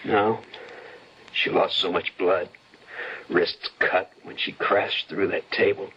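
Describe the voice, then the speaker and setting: An actor playing a grave, controlling schemer in an old-time radio suspense drama, with a rough, husky voice. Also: male voice, sits low, steady tone, slow pace, sharp tone, full character voice. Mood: grave, drained. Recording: muffled radio drama recording.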